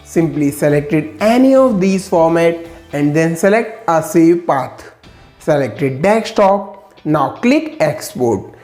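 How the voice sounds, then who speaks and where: Mellow-voiced woman narrating a software tutorial. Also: low voice, takes it slowly, reverberant, soft background music. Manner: steady step-by-step instructions, enthusiastic asides.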